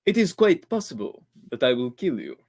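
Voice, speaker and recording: A man's voice: mid-range voice, big pitch swings, a little mellow, breathy edge. Man acting a formal, theatrical radio-thriller villain. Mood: polite menace. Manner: formal, deliberate phrasing. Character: menacing polite doctor villain, really a panicking radio actor.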